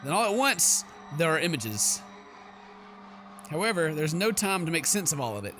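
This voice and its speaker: A man doing jokey, theatrical let's play commentary, excitable and slangy, reading game text dramatically. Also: a little high, normal speed, a little hiss or hum. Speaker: gaming streamer. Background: game music.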